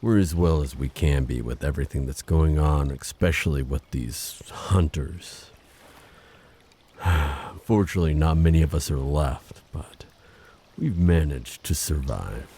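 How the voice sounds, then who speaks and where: A man performs a proud siren prince from an audio drama. The voice is extremely deep, dark and husky, with a formal, reserved, self-assured way of speaking. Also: a little slow, full character voice. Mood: weary, guarded.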